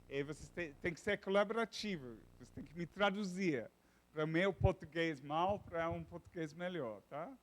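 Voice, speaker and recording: Englishman lecturing in halting Portuguese. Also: on the high side, rough recording. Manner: halting, searches for words, many restarts. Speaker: university lecturer. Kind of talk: informal classroom lecture.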